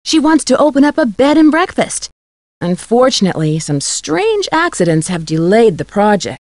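A woman's voice: fairly high pitch, very animated, normal speed, darkish tone, dry recording. A woman voicing an eager, friendly young amateur detective in a video game, earnest and polished. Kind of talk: video game character dialogue.

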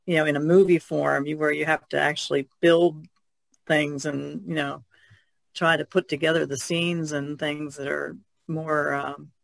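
A man's voice: a little high, level tone, measured pace, gravelly, a bit bright, dry recording. A man in a casual podcast discussion, explaining his thoughts in a tentative way.

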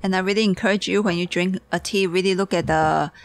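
Tired gravelly-voiced woman. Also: fairly low pitch, fairly even intonation. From Taiwan. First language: Taiwanese. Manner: halting, searching for words, giggly. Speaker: tea teacher. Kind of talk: guided tea tasting.

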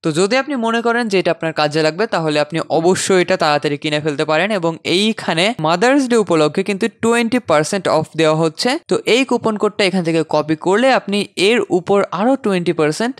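A man hosting a casual software tutorial, conversational and dropping in English terms. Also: high voice, fast, few pauses, no echo. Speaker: tutorial host. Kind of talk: casual software tutorial screen-recording narration.

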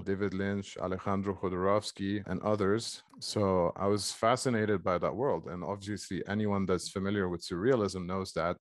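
A man's voice: sits low, dry recording, studio quality. A man in his forties from Egypt, speaking thoughtfully in conversation over a video call. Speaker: author in conversation. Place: small book-filled room at home, video call.

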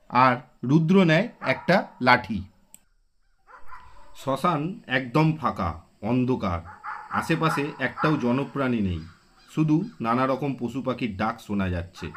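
A man narrating a spooky village cartoon story in a slow, measured way. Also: medium pitch, background noise.

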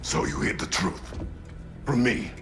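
An actor playing a stern, brooding warrior father in a video game, terse and commanding with a gravelly voice. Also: measured pace, close to an everyday voice. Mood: stern, betrayed. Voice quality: very deep, gravelly. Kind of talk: dramatic video game acting. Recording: loud music behind the voice.

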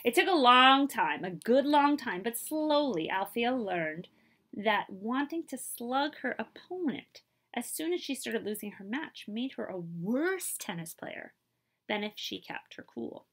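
A woman in her thirties reading a children's picture book aloud expressively, pausing to put questions to her listeners. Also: fairly high pitch. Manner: animated, asks listeners questions. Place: small room indoors.

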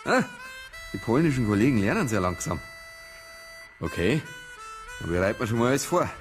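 An actor playing a dry, persistent police detective with a Bavarian accent and a colloquial, matter-of-fact manner. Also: male voice, medium pitch, sing-song, a little fast, soft background music, natural voice. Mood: businesslike, wry.

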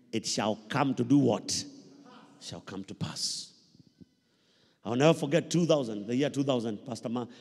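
Husky Kenyan preacher man in a reverberant hall. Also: medium pitch, a little mellow. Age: middle-aged. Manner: emphatic, repeats phrases, prompts listeners with questions. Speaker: pastor preaching. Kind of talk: sermon with call-and-response questions. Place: large church hall, indoors. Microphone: handheld microphone.

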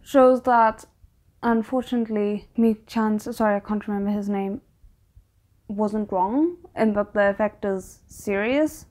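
A woman's voice: medium pitch, a little slow, a little mellow. A young adult woman giving casual reaction commentary, earnest and reflective, picking her phrases hesitantly as she goes. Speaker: anime reaction host. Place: small bedroom, indoors.

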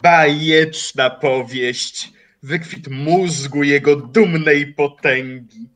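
An actor playing a calm, mocking old watchmaker and philosopher in an audio drama, speaking in deliberate, formal phrases. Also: male voice, a little high. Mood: patronising, philosophical. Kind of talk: audio drama acting, literary dialogue.

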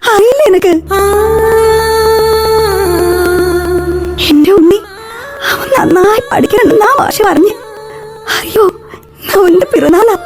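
A woman playing a fretful, ailing older mother in a radio play, calling out in scolding, weepy tones. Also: extremely high pitch. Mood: tearful. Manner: scolding, plaintive, weepy calls. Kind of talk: radio drama acting.

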